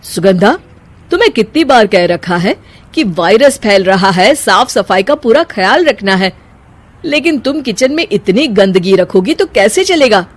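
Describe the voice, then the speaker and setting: A woman's voice: medium pitch, expressive, unhurried, a little hiss or hum, lightly acted. A woman voicing a long-suffering young husband in a storybook tale, complaining in a dramatic way. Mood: scolding.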